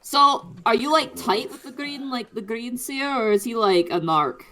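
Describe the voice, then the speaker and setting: A woman's voice: fairly high pitch, moderate pace, bright, forceful tone, a little hiss or hum. A woman playing a friendly druid adventurer who talks like a slangy, chummy bro in a tabletop game. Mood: laid-back, friendly. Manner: slangy, chummy, casual swearing.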